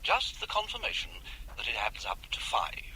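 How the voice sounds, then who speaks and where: Suave official, highly animated voice. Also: male voice, moderate pace, background noise. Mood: suave, coaxing. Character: smooth, polite English government intelligence official. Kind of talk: radio drama acting.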